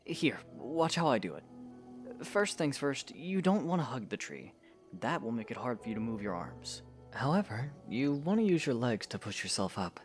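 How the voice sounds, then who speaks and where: A man playing a patient young swordsman hero in an audio drama, coaching in a gravelly, encouraging way. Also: medium pitch, big pitch swings, normal speed, soft background music, natural voice. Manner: patient, instructive, reassuring. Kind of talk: scripted audio drama acting.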